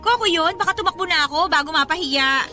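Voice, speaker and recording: Casting: giddy, teasing young Filipina friend, piercingly high and bright, radio drama. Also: female voice, fast, soft background music. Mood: teasing, amused. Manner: teasing, exclamatory. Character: teasing, excitable young female best friend, student. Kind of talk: Tagalog radio drama acting.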